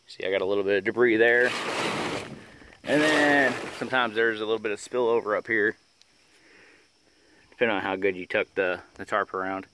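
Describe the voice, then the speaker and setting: A man's voice: fairly low pitch, a little fast, long pauses, background noise. A man in his thirties casually talking through a how-to outdoors in a rural yard, with lots of uh fillers, recorded on a handheld phone. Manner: relaxed, rambling, many "uh" fillers. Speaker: homesteading vlogger. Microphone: phone camera held at arm's length. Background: crickets and insects.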